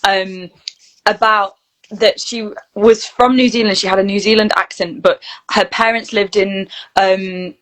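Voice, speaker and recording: A British woman speaks in a casual interview setting. She explains things in a chatty, conversational way, often voicing imagined exchanges as she goes. Her pitch sits in the middle range for a woman. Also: steady tone, unhurried, a bit bright, rough recording. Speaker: guest, voice reel studio founder and actor.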